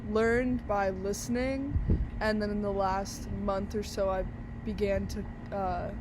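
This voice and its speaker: A woman's voice: medium pitch, steady tone, takes it slowly. Young woman, casual rambling interviewee, outdoor traffic noise. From Brazil. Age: young adult. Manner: chatty, many fillers and restarts.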